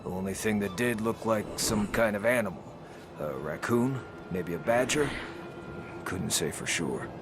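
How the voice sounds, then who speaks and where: A man's voice: on the low side, lively intonation, normal speed, over music. A man voicing an evasive, nonchalant fellow playing dumb in a video game, with feigned puzzlement. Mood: feigned innocence. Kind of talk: video game character acting. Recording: clear background ambience noise.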